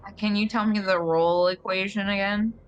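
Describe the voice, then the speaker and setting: A woman's voice: medium pitch, fairly even intonation, normal speed, clean tone. A woman player in a tabletop roleplaying game, chatting casually and often saying "like" as she talks. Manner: conversational, peppers speech with "like". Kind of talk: casual chat. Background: music.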